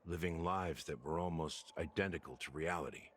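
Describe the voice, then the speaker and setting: An actor playing a grave, world-weary officer in a video game, telling history in a measured, solemn way. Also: male voice, very deep voice, slightly rough. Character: grave world-weary older male officer recounting old wars. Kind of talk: dramatic video game voice acting. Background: background game music.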